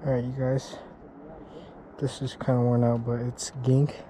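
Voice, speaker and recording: A young man talks slowly and quietly while fishing at a mountain lake, admiring each catch as he goes. He is recorded outdoors on a body-worn action camera, with a steady hiss of water and wind behind his voice. His pitch sits in a middle range. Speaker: fishing vlogger. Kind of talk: casual fishing commentary.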